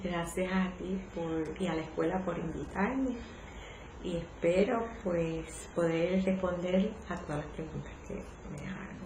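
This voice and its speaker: A Puerto Rican woman in her sixties recalls her career as a librarian in a polite, measured, reflective way during an oral history interview. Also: fairly low pitch, noisy background. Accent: Puerto Rican Spanish. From Puerto Rico. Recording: echoey room.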